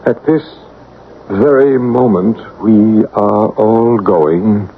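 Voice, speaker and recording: An actor playing a grave, soothing psychic investigator in a radio drama, with solemn, coaxing phrasing. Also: male voice, lowish voice, extremely slow, phone-quality sound. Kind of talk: 1970s radio drama acting and narration. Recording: thin, faintly noisy old radio recording.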